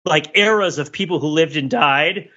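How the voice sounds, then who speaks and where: A man in a casual panel discussion, rambling through wry, animated, digressive analysis of pop culture. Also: on the high side, moderate pace, lo-fi sound. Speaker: podcast panelist.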